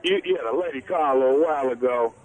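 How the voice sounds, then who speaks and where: A man calling in as a truck driver, talking in a relaxed, slangy way. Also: mid-range voice, quick pace, phone-quality sound. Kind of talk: casual phone call.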